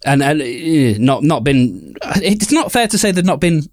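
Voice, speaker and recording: A man co-hosting a podcast in casual chat, halting and rephrasing himself as he goes. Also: a little high, sing-song, no room sound, studio quality. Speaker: podcast co-host.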